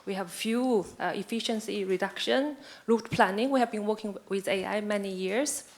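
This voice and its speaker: A woman's voice: medium pitch, moderate pace. A woman on a panel, explaining steadily. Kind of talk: panel discussion.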